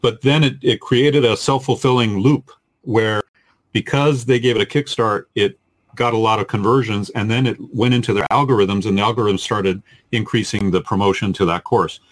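A man with a fairly low voice talks as a podcast co-host and instructor. He explains in a measured, analytical manner, as part of a casual panel discussion. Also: steady tone, low-quality recording.

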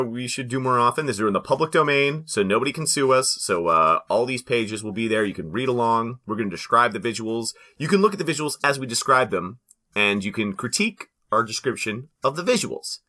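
A man's voice: medium pitch, animated, fairly quick, pushed and bright. A man co-hosting a podcast, bantering casually in a joking, playful way. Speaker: podcast co-host.

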